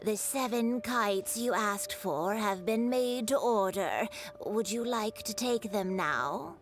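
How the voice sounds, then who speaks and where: Slow-talking, courteous old craftswoman. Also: medium pitch, slight background noise. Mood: courteous, proud. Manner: formal, measured, deliberate. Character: elderly woman kite-maker, proud and courteous craftswoman. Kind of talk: video game voice acting. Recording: game music under the voice.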